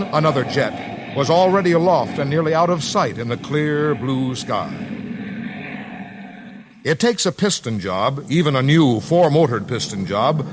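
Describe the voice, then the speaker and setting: An American man narrates a 1950s radio documentary in a solemn, deliberate, scripted style with measured phrasing. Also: a little high, background noise. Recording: vintage 1950s radio broadcast, music underneath. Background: dramatic music.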